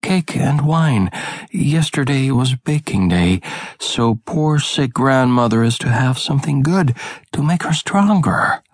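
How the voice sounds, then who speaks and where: A sweet, innocent storybook little girl, put on by a man, speaking politely in a lifted pitch. The delivery is trusting and unhurried, in a dry recording. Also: lively intonation, no room sound.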